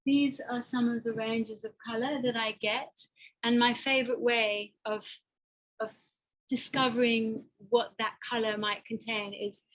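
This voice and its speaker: Woman artist, slightly high, halting and reflective; presenting a slideshow talk. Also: fairly bright tone, poor audio. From Botswana. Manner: hesitant, thoughtful, with fillers and restarts.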